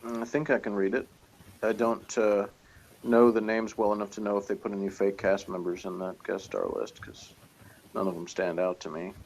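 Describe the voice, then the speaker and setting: A man chatting casually as a co-reviewer, halting and often trailing off mid-thought. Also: lowish voice, little pitch movement, darkish tone, low-quality recording. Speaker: co-reviewer of a TV episode. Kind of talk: casual chat.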